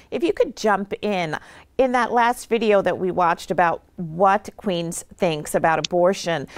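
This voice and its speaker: A woman hosting a live television panel, polite and a little hesitant when asking questions. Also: lowish voice. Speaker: host. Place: TV studio.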